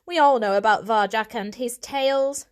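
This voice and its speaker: A woman's voice: on the high side, moderate pace, natural voice. A woman voicing a complacent, blustering male cat, a father who speaks in a dismissive, assertive way in a storybook. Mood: dismissive, defiant.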